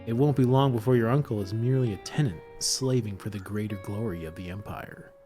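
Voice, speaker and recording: A man's voice: on the low side, brisk, dark tone, soft background music, lightly acted. A man voicing an earnest, conspiratorial young rebel confiding in his friend, in an amateur table read of a film script.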